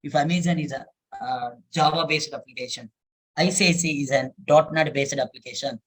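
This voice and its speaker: Indian guy teaching code online, kinda high voice, says stuff twice, lots of uh. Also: fairly quick, a bit bright, rough recording. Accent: Indian English. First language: Telugu. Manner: repeats phrases often, many fillers. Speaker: software trainer. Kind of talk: live online coding lesson.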